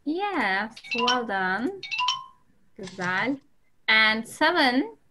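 A woman's voice: on the high side, sing-song, very slow, background noise. A woman with a Turkish accent teaching an English class over a video call, patiently calling on students.

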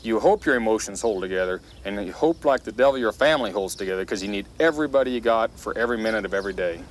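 A man's voice: lowish voice, expressive, rapid-fire, a little hiss or hum. A man in his thirties with an American accent, a farmer speaking earnestly and emphatically in an interview, stressing by repetition. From Kansas. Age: 30s. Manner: emphatic, builds lists and repeats for stress. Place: outdoors beside farm machinery.

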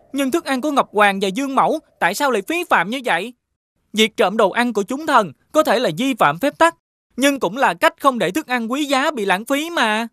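A woman voicing a bold, mischievous young celestial servant boy in an animated fairy tale, defiant and argumentative. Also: on the high side, dry recording.

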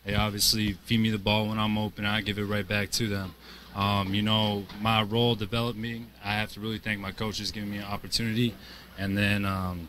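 A man in his twenties answering post-game interview questions as a basketball player, in a measured, earnest way. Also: lowish voice, fairly bright tone. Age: 20s. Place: indoor basketball arena, courtside. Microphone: handheld interview microphone held to him. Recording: clear arena background noise.

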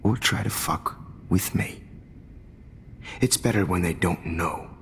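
Low, slow, sinister photography teacher. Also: male voice, creaky, a bit bright, exaggerated voice. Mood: taunting. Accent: American. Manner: deliberate, pretentious, menacing, with dramatic pauses. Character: smug, sinister male photography teacher turned obsessive captor. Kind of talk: dramatic video game acting. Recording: long echoey reverb, faint hiss.

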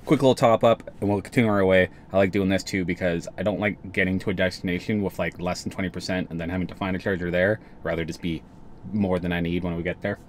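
A man's voice: sits low, fairly even intonation, fast, a little hiss or hum. A young man from Canada talking casually to the camera in a road trip vlog, rambling with restarts. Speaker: vlogger.

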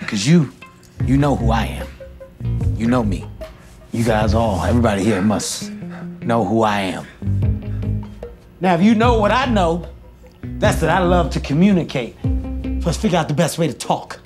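An actor playing a bumbling man mistaken for a hitman, bluffing and fast-talking, swinging to loud threats. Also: mid-range voice, lively intonation, lightly acted. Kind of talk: film comedy acting. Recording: film audio under music.

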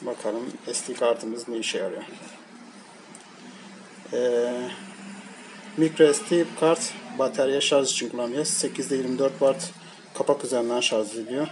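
Guy doing a casual unboxing, mid-pitched voice, talks in halting bits, noisy homemade recording. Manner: halting, piecemeal explaining while handling items. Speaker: product reviewer. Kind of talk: casual unscripted unboxing commentary. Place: indoors at a table, hands-on tabletop shoot. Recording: noisy amateur home recording. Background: clear background noise.

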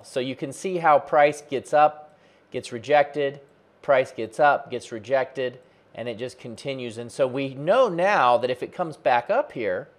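A man in his forties teaching trading off a chart in a plain explanatory way, speaking close into a desk mic from a small home office. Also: medium pitch. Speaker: trading educator. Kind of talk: screen-share chart tutorial. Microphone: close desk mic, webcam framing.

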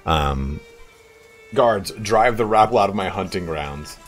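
Brief: mid-thirties male streamer, low-voiced but bouncy, brash and swearing, faint game music underneath. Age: 30s. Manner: loud, excitable and profane, quick swings in pitch. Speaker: YouTube streamer. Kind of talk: live-stream chat while playing a strategy game.

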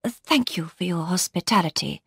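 A woman's voice: lowish voice, animated, normal speed, gravelly, slightly rough, no room sound, studio quality, exaggerated voice. A woman voicing a composed, haughty countess in an audiobook, with a formal, dignified manner. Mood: cool disapproval.

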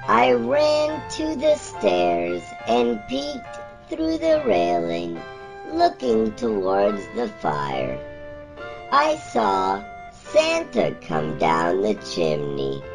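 Gentle little alien storybook reader, gravelly croak leaping wildly into childlike squeaks. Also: male voice, huge pitch swings, full character voice, low-quality recording. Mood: halting storytelling. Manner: slow, halting, childlike storytelling with swooping pitch. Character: E.T., small gentle wide-eyed alien reading a storybook. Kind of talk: storybook reading in a character voice. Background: instrumental music.

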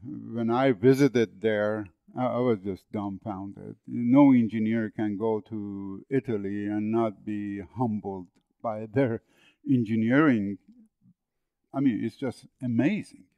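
A man's voice: lowish voice, steady tone, takes it slowly, no echo. Older Persian-accented man, interview guest, loose storytelling delivery, dry and slightly muffled audio. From northern Iran. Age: 70s. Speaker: guest, agricultural engineer. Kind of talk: podcast interview.

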